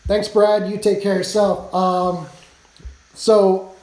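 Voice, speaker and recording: A man live streaming and chatting casually with friends, hoarse from losing his voice. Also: sits high, little pitch movement, slow, darkish tone, reverberant, poor audio. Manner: rambling, chatty, laughs often.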